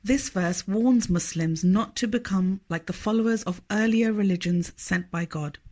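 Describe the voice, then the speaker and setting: A woman reading a scripted scripture study aloud in a measured, formal manner. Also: medium pitch, a little mellow. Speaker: reader of a religious study text.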